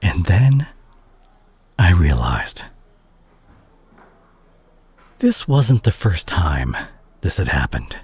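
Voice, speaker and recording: A man playing a concerned, exasperated superhero scolding a reckless teammate in a dramatic audio roleplay, husky and breathy, with halting, emphatic pauses. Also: sits low, sing-song, a little mellow, heavily acted. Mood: pained, worried.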